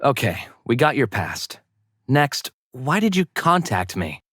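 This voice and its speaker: A man's voice: mid-range voice, big pitch swings, unhurried, a bit bright, husky, no echo, clean studio sound, full character voice. A man voicing a blunt, skeptical investigator in a visual novel dub, questioning in a pointed, sardonic way. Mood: skeptical, dry. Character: skeptical, blunt male investigator interrogating a witness. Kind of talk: visual novel English fan dub, dramatic dialogue. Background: music.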